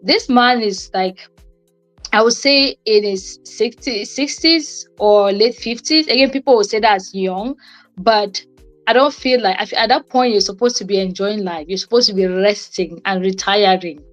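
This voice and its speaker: A young woman speaks at a middling pitch with music behind her. She talks informally and motivationally, as on a livestream, often repeating herself to drive a point home. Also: normal speed, rough recording. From Cameroon. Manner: conversational, repeats phrases for emphasis. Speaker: co-host and guest speaker on a livestream.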